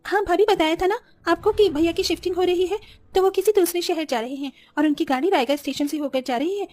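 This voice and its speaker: An earnest young seamstress from an animated village tale, voiced by a woman. Her voice is high and fast, with a polite, pleading manner. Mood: eager, polite. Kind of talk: animated story dialogue.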